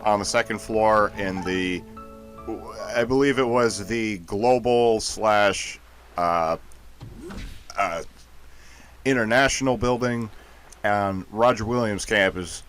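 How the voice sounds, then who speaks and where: Low-voiced man playfully commentating a game. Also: slow pace, pauses often, a bit bright, smooth, faint music behind. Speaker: let's play co-commentator. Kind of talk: casual, joking game commentary.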